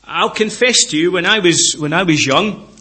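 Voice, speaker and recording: A middle-aged man from Scotland with a Scottish accent, preaching a sermon in an earnest way, repeating phrases and slowing down to stress key words. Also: sits high, lively intonation, bright, forceful tone.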